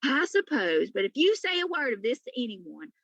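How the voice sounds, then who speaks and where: A woman's voice: on the high side, sing-song, moderate pace, a bit bright, no echo, dull audio, exaggerated voice. A woman voicing a proud, prickly female cat in a storybook, with a haughty, sarcastic, snappish manner. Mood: grudging, snappish.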